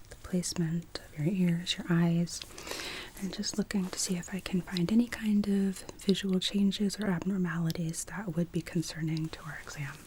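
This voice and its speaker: A woman's voice: on the low side, slight background noise. Whispering woman doing an ASMR doctor exam. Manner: soft whispering, calm step-by-step instructions. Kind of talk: ASMR medical exam roleplay.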